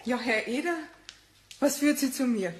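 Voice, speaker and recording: A woman's voice: high-pitched, moderate pace, noisy background, natural voice. An actress playing a polite, concerned neighbourhood mother in a children's radio play, with a southern German accent and colloquial manner. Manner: courteous, colloquial.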